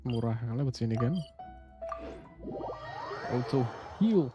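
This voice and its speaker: A man's voice: medium pitch, lively intonation, unhurried. A man with an Indonesian accent giving casual, playful, exclamatory commentary while live-streaming a game. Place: indoor room, streaming setup. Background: game music.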